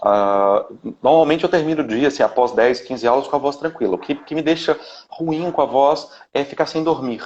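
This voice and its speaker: A man's voice: medium pitch, normal speed, a little mellow, a little hiss or hum. A Brazilian man in his thirties, a vocal coach, talking in a casual live interview with many restarts and tag questions over a video call. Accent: Brazilian Portuguese. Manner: rambling, frequent "né?" tags and restarts. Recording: dull lo-fi live-stream audio.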